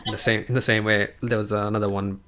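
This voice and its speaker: A man hosting a live-streamed chat, speaking in a halting way with many fillers and restarts. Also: on the low side, little pitch movement, pushed and bright. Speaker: livestream host.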